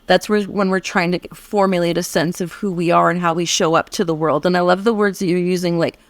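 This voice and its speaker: An American woman co-hosting a podcast, reflecting at length in a warm, affirming conversational way with many restarts. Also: fairly low pitch, steady tone, brisk, no echo. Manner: reflective, affirming, speaks in long winding sentences with restarts. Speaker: podcast co-host.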